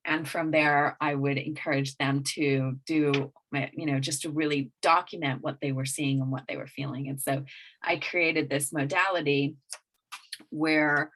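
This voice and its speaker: Low-voiced woman with a mixed accent. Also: steady tone, unhurried, a bit bright, poor audio. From England. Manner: rambling with many restarts and fillers. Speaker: guest business coach. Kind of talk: casual interview talk.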